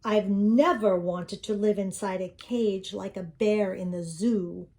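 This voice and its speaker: A woman's voice: medium pitch, steady tone, takes it slowly, a little mellow. A woman voicing a small, curious male toy bear with childlike wonder in a children's storybook reading. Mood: happy wonder. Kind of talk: children's storybook read-aloud.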